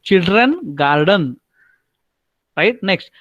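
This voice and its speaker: Marathi-accented male teacher, higher-pitched voice, patient and repetitive, dry computer-mic sound on a video call. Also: very animated, a bit bright, dry recording, highs cut off. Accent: Marathi-accented Indian English. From Maharashtra, India. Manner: repeats himself, keeps asking "right?", spells out German words slowly. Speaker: German language teacher. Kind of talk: online lecture to a class.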